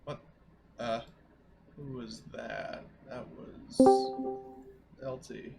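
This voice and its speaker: A man's voice: mid-range voice, steady tone, extremely slow, vocal fry, loud music behind the voice. A man chatting casually as a player in a tabletop roleplaying game.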